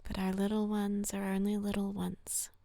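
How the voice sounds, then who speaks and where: A woman leading a slow, soothing guided relaxation in a soft, breathy, often near-whispered voice, gentle and unhurried with long pauses. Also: mid-range voice, monotone, no echo. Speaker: guided meditation narrator.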